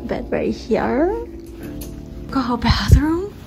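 A young woman in her twenties filming a casual road trip and moving vlog on her phone, giggly and excitable, sometimes dropping to a whisper. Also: high-pitched, takes it slowly, creaky. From Pacific islands. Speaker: vlogger. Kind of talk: casual home video chat. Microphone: handheld phone camera. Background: loud music bed.

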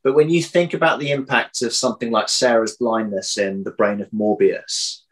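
A man in his thirties with a mid-pitched voice talks through his writing in an interview. He is reflective and conversational, often breaking off to rephrase, and plays himself down modestly. He speaks indoors at home, a little way from the computer. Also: steady tone. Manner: thoughtful, halting, self-deprecating. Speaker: interviewed audio drama writer. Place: domestic room indoors.

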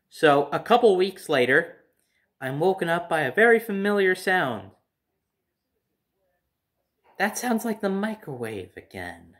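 A young man tells a story from his own life in a casual way. His voice sits a little high for a man, and its pitch moves up and down a great deal as he goes. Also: fairly bright tone. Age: young adult. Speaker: storyteller, vlogger. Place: living room at home, by a window.

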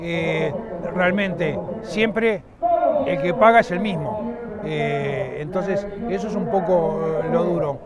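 A man's voice: a little high, a loud crowd. A middle-aged man with a Uruguayan accent, the president of a rural association, giving an outdoor interview with frequent fillers and restarts. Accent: Uruguayan Spanish. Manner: halting, many fillers and restarts. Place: outdoors at a livestock fairground.

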